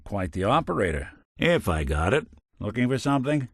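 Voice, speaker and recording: A man's voice: on the low side, very animated, fairly quick, husky, no room sound, heavily acted. A man voicing a wry, world-weary old synthetic detective in a video game, with a hard-boiled American drawl and dry sarcasm. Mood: dry, wisecracking. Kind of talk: video game character acting, noir detective.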